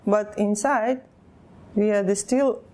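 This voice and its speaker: Russian-accented woman, halting English. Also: mid-range voice, steady tone, darkish tone, slight background noise. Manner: halting, searching for words, broken English. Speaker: interviewee. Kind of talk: personal testimony in an interview.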